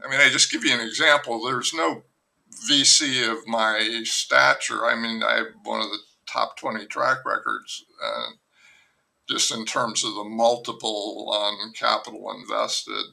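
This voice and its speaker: A man's voice: fairly low pitch, a little slow, vocal fry, sharp tone, rough recording. An older man, a novelist being interviewed on a podcast, speaking frankly in a rambling way and often restarting his sentences. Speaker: novelist guest. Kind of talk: candid podcast conversation.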